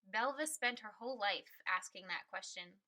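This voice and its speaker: A woman reading a picture book aloud as a storyteller, with expressive, emphatic and clearly phrased delivery. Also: mid-range voice, normal speed.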